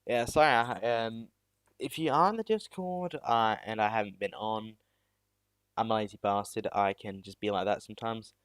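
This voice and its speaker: A man's voice: on the low side, moderate pace, clear, resonant tone, dry recording. Teen boy streamer from South Australia. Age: teens. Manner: rambling and self-deprecating, with many restarts. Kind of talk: casual live-stream chat. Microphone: new USB mic.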